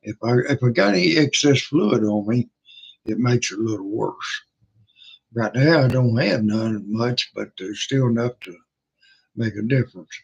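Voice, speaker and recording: An older man hosting a livestream, chatting casually in a hoarse, rough voice, halting and folksy with many pauses and fillers. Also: mid-range voice, expressive. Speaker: livestream host. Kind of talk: casual rambling chat. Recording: dull lo-fi sound.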